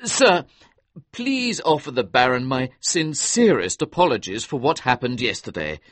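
A man voicing an earnest, easily flustered young gentleman who exclaims and pleads, in a storybook reading. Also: mid-range voice, very animated, unhurried, dry recording.

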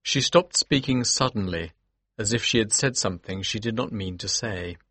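An actor playing an earnest, determined gentleman who tells a Victorian mystery in the first person, with a husky voice. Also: lowish voice, normal speed, no room sound, full character voice. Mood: measured narration. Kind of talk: scripted first-person audiobook narration.

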